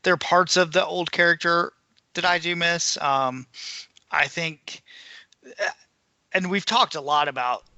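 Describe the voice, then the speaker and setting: A man chatting casually about books as a podcast panelist, in a measured and tentative way. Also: a little high, steady tone, sharp tone, no room sound. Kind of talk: casual book discussion.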